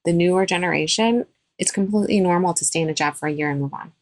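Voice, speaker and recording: A woman dentist speaking as a podcast guest, with a somewhat low voice. She talks in an emphatic, advice-giving way. The sound is dry and close, with no room echo. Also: fast talker, darkish tone. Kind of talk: podcast interview.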